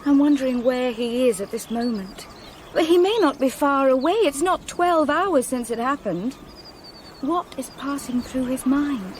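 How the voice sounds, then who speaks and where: A woman playing a composed, serious young Englishwoman with quiet concern and an English accent, in a radio play. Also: sits high, measured pace, slight background noise. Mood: troubled, intent. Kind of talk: radio drama acting.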